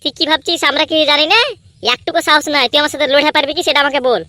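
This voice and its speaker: A woman acting as a brash little cartoon boy. The voice is sharp and high, cocky and needling. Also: steady tone, rapid-fire, dry recording. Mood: taunting, daring. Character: cocky, taunting small boy spoiling for fights. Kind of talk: amateur comedy cartoon dub.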